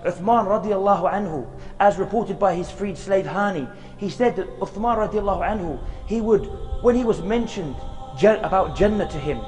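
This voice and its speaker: A man with a high voice delivers an earnest, unhurried sermon outdoors in a graveyard. His words trail into a long reverb, and faint music plays underneath. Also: a little mellow. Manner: earnest, slow, with Arabic recitation chanted. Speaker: preacher giving a short reminder.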